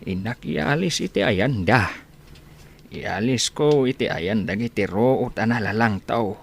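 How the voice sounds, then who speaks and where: A man's voice: fairly low pitch, animated, unhurried, vocal fry, slightly rough, heavily acted. A man playing an old, humble rural husband who herds cattle in an Ilocano radio comedy-drama, speaking in a drawl full of hesitant interjections. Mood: puzzled. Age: older.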